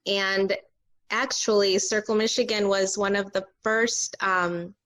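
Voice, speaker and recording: A woman's voice: medium pitch, fairly even intonation, a little slow, a bit bright. A woman with a mid-range voice talks in a casual, conversational way. She is an actor catching up with colleagues over a remote call. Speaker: actor on a virtual table read. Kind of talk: casual chat.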